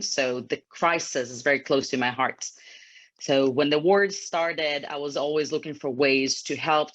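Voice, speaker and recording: A woman's voice: extremely low pitch, a bit bright. A woman in her thirties from Ukraine, a native Ukrainian speaker, giving an earnest personal account as an interview guest over a video call. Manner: earnest, slightly non-native phrasing. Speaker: interview guest, federation executive. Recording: dull lo-fi video call audio.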